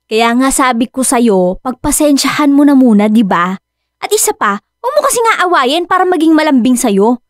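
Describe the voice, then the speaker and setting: A playful, doting dog mom for a radio drama. Her voice is high and close, with very little room sound, and she coos expressively over her pet like a baby. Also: normal speed, no echo. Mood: coaxing, cooing. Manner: expressive, cooing.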